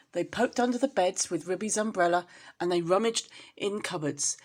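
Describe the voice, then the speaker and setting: A woman reading a children's story aloud as a storyteller, in an expressive style. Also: on the low side.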